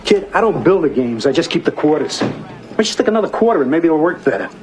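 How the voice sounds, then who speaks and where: An actor playing an easygoing, wry small-town diner owner in a folksy, offhand, naturalistic film style. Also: male voice, fairly high pitch, very animated, quick pace, noisy background.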